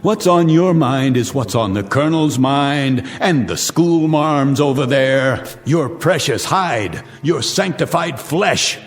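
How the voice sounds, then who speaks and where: A sneering doomsday cynic, lively swooping delivery, hall reverb over music. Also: male voice, medium pitch, slow pace, hardly any pauses. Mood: mocking. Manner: sneering, theatrical, mocking. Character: cynical wealthy man gloating over doomsday. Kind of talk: 1980s television drama acting.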